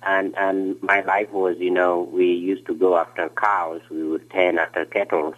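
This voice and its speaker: A man in his forties from South Sudan, a radio guest talking haltingly with many fillers. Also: low voice, little pitch movement, a bit bright, dull audio. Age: 40s. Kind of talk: radio interview by phone.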